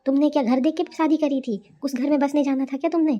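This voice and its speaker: Lady doing a scolding middle aged saas, high voice, naggy and snooty, cartoon family comedy. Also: fairly even intonation, fast, muffled recording, close to an everyday voice. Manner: nagging, reproachful. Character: scolding, disdainful village mother-in-law, middle-aged.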